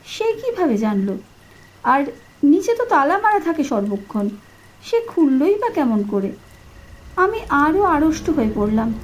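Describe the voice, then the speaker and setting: High-pitched woman narrating suspenseful horror. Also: rough recording. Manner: expressive, suspenseful delivery. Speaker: audio horror story narrator. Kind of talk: dramatic first-person scripted story narration. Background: atmospheric sound effects.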